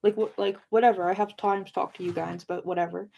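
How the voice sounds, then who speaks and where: A woman's voice: medium pitch, steady tone, fast, gravelly, mellow, poor audio. A young woman chatting casually with live viewers, informal and rambling as she drifts between points. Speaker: livestream host of a book discussion.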